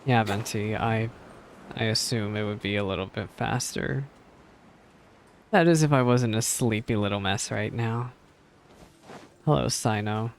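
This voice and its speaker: A man's voice: on the low side, unhurried, vocal fry, pauses often, slight background noise. A man reacting live to a video game in a casual, chatty way, thinking aloud as he plays. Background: video game music.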